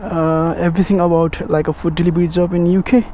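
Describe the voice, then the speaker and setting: A young man whose first language is Nepali chats fast in a high, mellow voice. He keeps calling out "guys" and "yeah?" as he vlogs from his motorbike on city streets, with faint outdoor noise behind him. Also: level tone. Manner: chatty, sprinkles "guys" and "yeah?", mixes English with Nepali. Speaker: food delivery rider and vlogger. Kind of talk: casual vlog chat while riding.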